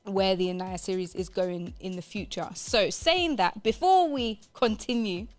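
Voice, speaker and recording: A woman's voice: medium pitch, a little slow, soft background music. A woman presenting a live radio show in an upbeat, emphatic way, repeating phrases for stress.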